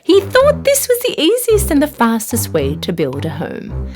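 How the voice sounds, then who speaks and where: Female narrator for bedtime fairy tales: expressive, highly melodic, music underneath. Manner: expressive, deliberate storytelling. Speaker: storyteller, narrator. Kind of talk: scripted children's story narration.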